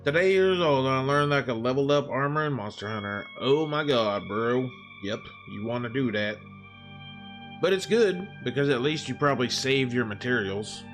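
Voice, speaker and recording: A man's voice: mid-range voice, expressive, normal speed. A man livestreaming in casual, sweary bro slang, chatting and composing a story aloud. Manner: informal, profane, bro slang. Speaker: live-streaming writer and gamer. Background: background music.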